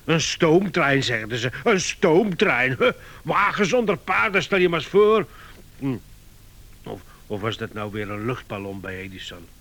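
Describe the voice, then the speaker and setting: Earnest, flustered man, sharp bright voice. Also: medium pitch, big pitch swings, unhurried, heavily acted. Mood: insistent, earnest. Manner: hesitant, stammering, insistent. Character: Sam, earnest flustered visitor defending his inventor friend. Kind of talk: radio comedy drama acting.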